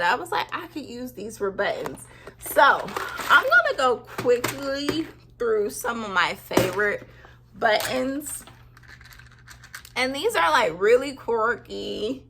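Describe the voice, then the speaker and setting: Bubbly thirtysomething woman chatting to camera, laughs a lot, says like constantly, bright tone. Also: a little high, a little hiss or hum. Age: 30s. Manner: giggly, bubbly, lots of "like". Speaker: sewing vlogger. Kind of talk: casual chat.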